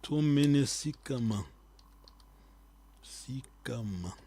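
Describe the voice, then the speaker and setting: A man teaching a live online language lesson, quizzing his students and repeating key words slowly so they stick. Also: mid-range voice, expressive, warm tone, a little hiss or hum.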